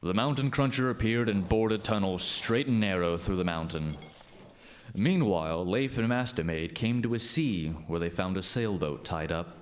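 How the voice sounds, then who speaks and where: A man's voice: lowish voice, normal speed, slight background noise. A man narrating a scripted folk tale as a storyteller.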